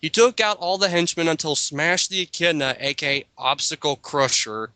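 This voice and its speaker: A man with a bright, forceful voice pitched a little high reads a story aloud for an amateur dramatic reading. He comments on it with sarcastic disbelief, and the recording is dry and close. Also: moderate pace, no echo. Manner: sarcastic, incredulous.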